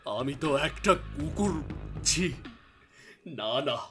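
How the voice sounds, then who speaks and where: Confused, high-voiced sick king. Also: big pitch swings, slow, music in the background. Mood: confused, agitated. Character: confused, sick king who believes himself a dog.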